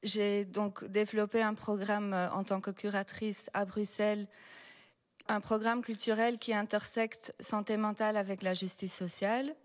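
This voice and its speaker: A woman who is not a native French speaker, a researcher speaking as a panel guest, explaining at a measured pace with frequent hesitations. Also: medium pitch, little pitch movement. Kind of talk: public panel talk. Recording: long hall reverb.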